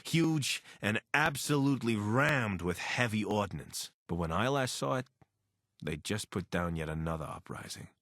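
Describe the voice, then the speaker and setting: Wry, anxious military deserter and pilot for a game companion role; low voice, very mobile intonation. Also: male voice, lively intonation, moderate pace, a bit bright, dry recording, studio quality. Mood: grave. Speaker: voice actor for a companion character. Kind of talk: video game character dialogue.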